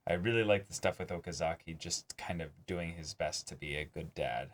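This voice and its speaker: A man co-hosting a reaction show, talking casually and haltingly as he thinks aloud about an anime episode, with emphatic swearing. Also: deep voice.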